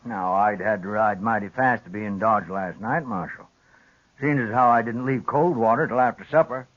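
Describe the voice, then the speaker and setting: A man's voice: fairly low pitch, normal speed, lightly acted. Blunt old rancher played by a man, lo-fi radio. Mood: guarded. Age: older. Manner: folksy rural phrasing. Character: blunt, plain-spoken old frontier rancher. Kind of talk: old-time radio western acting. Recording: dull lo-fi 1950s radio recording.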